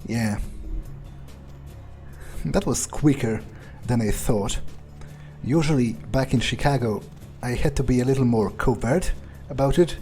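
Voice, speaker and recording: A man playing a slow, dark-voiced sneering vampire. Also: medium pitch, soft background music, close to an everyday voice. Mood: musing, weary. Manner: sneering, foul-mouthed, musing. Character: contemptuous, scheming male vampire musing to himself. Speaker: game player staying in character. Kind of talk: in-character roleplay playthrough.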